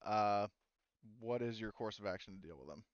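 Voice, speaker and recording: A man's voice: fairly low pitch, moderate pace, no room sound, highs cut off. A man casually running a tabletop roleplaying game as game master, hesitant and thinking aloud.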